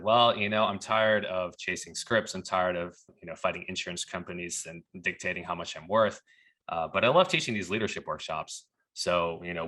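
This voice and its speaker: A man with a very low, clearly bright voice tells stories in a conversational way as a podcast guest. He often slips into quoted dialogue as he recounts what people said. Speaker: pharmacist turned leadership coach. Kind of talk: conversational storytelling.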